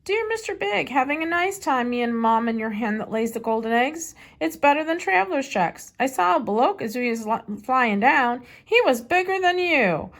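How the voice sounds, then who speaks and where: Storybook small boy, played by a woman: high, chatty, cheeky, with a bouncy lilt. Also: lively intonation, moderate pace, hardly any pauses. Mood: cheerful, cheeky.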